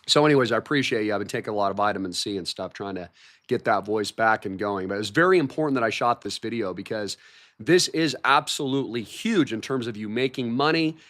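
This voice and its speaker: A man hosting a livestream, his voice hoarse from a recent cold, talking in an excited, repetitive way about market news. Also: on the low side, fast. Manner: hyped, repeats words like massive and shocking. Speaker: livestream host.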